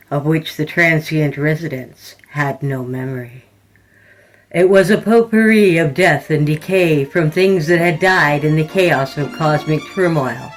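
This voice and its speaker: A woman hosting a fiction podcast and reading a scripted horror story in a deliberate, descriptive way. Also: low-pitched. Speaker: podcast host and story narrator.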